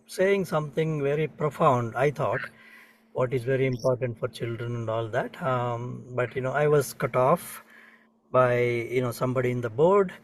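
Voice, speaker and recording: A man's voice: medium pitch, normal speed, recorded from a distance, low-quality recording. A middle-aged man with an Indian accent, sharing a personal story in a group session, hesitant and full of fillers. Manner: hesitant, many fillers, soft-spoken. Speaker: participant.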